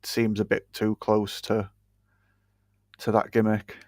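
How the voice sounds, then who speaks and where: A man's voice: on the low side, completely flat delivery, slow pace, a little mellow, no echo, studio quality. A man from England with an English accent, co-hosting a casual wrestling podcast with cheeky, sweary banter.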